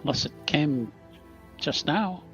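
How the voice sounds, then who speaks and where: A man's voice: a little high, lively intonation, takes it slowly, a bit bright, soft background music. A man playing a tabletop roleplaying game, talking in casual, improvised conversation, on a slightly lo-fi recording.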